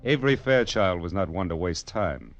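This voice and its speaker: A dull vintage radio recording carries a tough male private detective. He has a lowish voice and a dry, wisecracking delivery in 1940s noir radio style. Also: lively intonation, normal speed. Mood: wry narrating. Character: wry, hard-boiled male private detective narrator.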